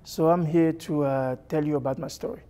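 A middle-aged man from Congo, seated indoors with a lapel mic, giving an earnest personal testimony and often pausing and restarting. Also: on the high side, steady tone, mellow. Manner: earnest, halting, with many fillers and restarts. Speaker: church member sharing testimony. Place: church hall with stage, indoors.

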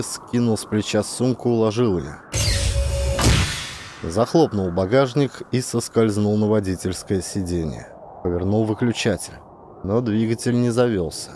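A man reading scripted fiction as a dramatic audiobook narrator. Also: on the low side.

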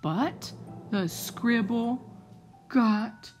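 A woman reading a children's picture book aloud in an expressive, theatrical and deliberate way. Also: on the high side, faint music behind.

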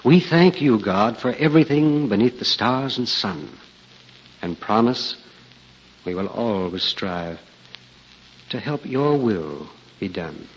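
A man giving a solemn, reverent 1940s radio recitation of a prayer with measured phrasing. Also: on the low side, animated, husky. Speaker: radio reader of a prayer poem. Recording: muffled old radio recording, long reverb.

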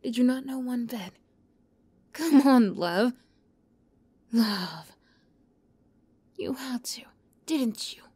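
A woman playing an obsessive young yandere captor in an ASMR roleplay, close to the mic, moving between soft whispers and giddy, high outbursts. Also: lively intonation, drawn-out words, long pauses, husky. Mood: teasing, intimate.

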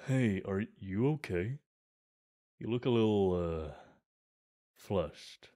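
An eager, chatty gym bro, played by a man with a slightly low voice. He talks in an earnest, friendly way, explaining workouts in an ASMR roleplay. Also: slow pace, dark tone.

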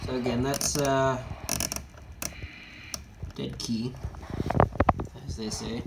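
A man giving a casual, matter-of-fact hands-on equipment demonstration, speaking haltingly. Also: mid-range voice, fairly even intonation, creaky, noisy background. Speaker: presenter of radio equipment tests. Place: indoors at a wooden table.

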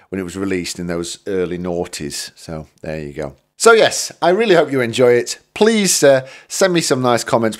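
A middle-aged man from the UK giving a casual guitar lesson in a small home studio, chatty and self-deprecating, with plenty of fillers. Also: deep voice. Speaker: guitar teacher. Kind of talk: casual spoken tutorial. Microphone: studio condenser mic in front.

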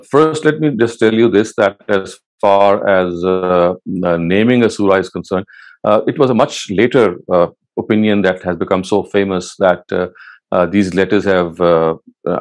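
A man in his sixties giving a measured academic lecture from a studio, answering questions and explaining patiently, with frequent "uh" pauses. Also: low-pitched, a little mellow. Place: studio set with bookshelves and wall lamps.

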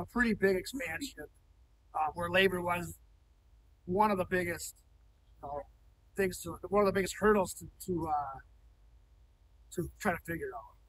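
A man's voice: high-pitched, fairly bright tone, far from the mic, a little hiss or hum, poor audio. A man in his thirties, a cattle farmer talking plainly in an on-site interview in a large barn, with frequent ums and restarts. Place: indoor cattle barn, large, open-sided.

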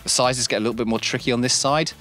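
A man's voice: medium pitch, fairly even intonation, racing, fairly bright tone. A man giving a casual, enthusiastic walkthrough of his homemade climbing training cellar. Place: cramped wood-lined cellar indoors.

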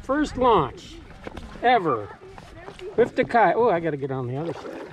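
A man's voice: fairly high pitch, sing-song, moderate pace. An older man, chatting and teaching his partner to paddle while kayaking on a lake, in a joking, instructive way. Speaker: vlogger. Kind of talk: casual outdoor vlog chat. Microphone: action camera on the boat. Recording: outdoor wind and water noise.

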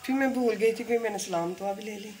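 A woman's voice: mid-range voice, quick pace, darkish tone. Homely middle-aged woman chatting while cooking. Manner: homely, plain-spoken, interjecting. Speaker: host's mother, the cook. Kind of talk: casual chat while cooking. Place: home kitchen.

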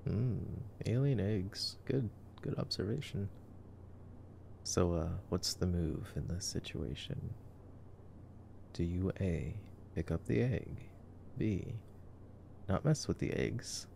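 Young male, deep and heavily creaky, for sarcastic ASMR boyfriend movie commentary; slangy, understated. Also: animated, takes it slowly, long pauses, dark tone, slight background noise. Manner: sarcastic, slangy, low-key. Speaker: ASMR roleplay performer.

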